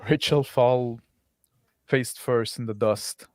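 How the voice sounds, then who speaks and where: A man narrating an improvised tabletop game as game master, piecing his descriptions together haltingly. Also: mid-range voice, fairly even intonation, no room sound.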